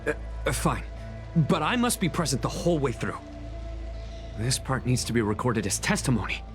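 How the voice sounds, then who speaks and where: Man playing a curt guard, animated pitch. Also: normal speed, lightly acted. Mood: grudging. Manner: curt, grudging. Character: gruff, suspicious male guard soldier. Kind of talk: video game character acting. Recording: music behind.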